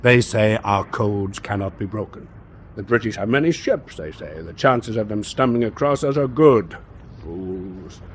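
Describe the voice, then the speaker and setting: Urbane wartime naval captain, noisy backdrop. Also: male voice, fairly low pitch, lively intonation, unhurried. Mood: scornful. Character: worldly German naval captain, genial host, scornful of Berlin. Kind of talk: wartime radio drama acting.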